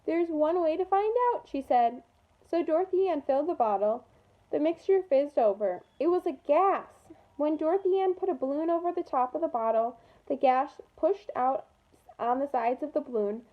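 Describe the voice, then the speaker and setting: Woman, high voice, animated children's storybook read-aloud, dull lo-fi recording. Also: moderate pace. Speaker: storybook reader at an environmental learning center.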